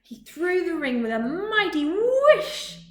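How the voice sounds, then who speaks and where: A woman reading a children's story aloud as a librarian, with expressive storytime narration. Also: very high-pitched, takes it slowly. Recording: echoey room, faint noise.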